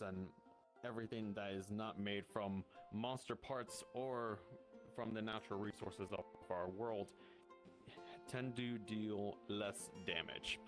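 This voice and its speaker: Male roleplayer as a guild guide: slightly deep, improvised instructive delivery that stumbles and restarts, over music. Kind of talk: improvised in-character explanation in VR roleplay. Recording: music behind the voice.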